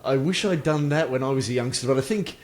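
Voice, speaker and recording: A man hosting a conversational podcast, with a voice of ordinary pitch. He reflects slowly and haltingly, padding his thoughts with ums and small asides. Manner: reflective, hesitant, many ums and short backchannel replies. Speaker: host. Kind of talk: casual interview chat.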